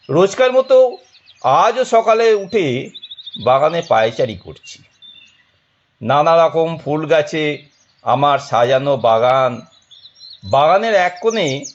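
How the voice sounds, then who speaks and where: A man narrating a scripted story dramatically, in a measured and expressive way. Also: a little high, muffled recording, slight background noise.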